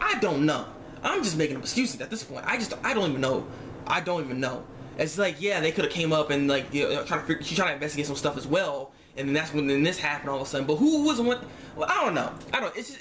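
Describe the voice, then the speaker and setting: Guy with a pretty high voice doing an off the cuff show review, slangy and worked up, repeats himself, bit of background noise. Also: fairly bright tone. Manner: exasperated, rambling, emphatic repetition; informal slangy speech. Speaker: online reviewer. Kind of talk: casual animated series episode review.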